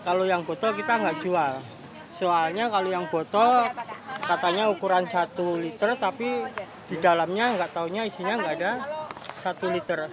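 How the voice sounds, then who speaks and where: A man's voice: high voice, moderate pace. A man working as a shopkeeper, answering casual interview questions in short, plain, repetitive phrases. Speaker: market shopkeeper. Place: traditional market stall. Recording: clear market crowd noise behind.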